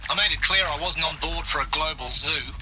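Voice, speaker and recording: An actor playing a bitter, resigned older scientist and outdoorsman in a video game monologue, measured and wryly disdainful. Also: a little high, noisy background, natural voice. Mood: appalled, earnest. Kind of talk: recorded confessional monologue, video game acting.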